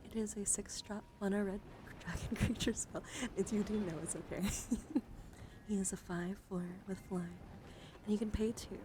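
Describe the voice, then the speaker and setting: A young woman hosts a livestream in a gentle ASMR style. Her mid-pitched voice is breathy and often drops toward a whisper. She is close to the microphone, with clear noise in the recording. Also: steady tone, moderate pace. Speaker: livestream host. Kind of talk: ASMR, soft-spoken casual live chat and card reading.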